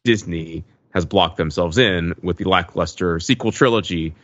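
A man's voice: low voice, moderate pace, no room sound, highs cut off. A man co-hosting a podcast, talking casually and analytically in rambling sentences with many asides. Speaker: podcast co-host. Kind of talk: casual analytical pop-culture discussion.

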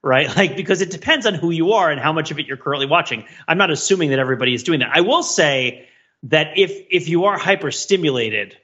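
A man's voice: on the high side, lively intonation, fast talker, sharp tone, lo-fi sound. A man co-hosting a podcast in casual discussion, analytical and wordy with a joking streak. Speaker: podcast co-host.

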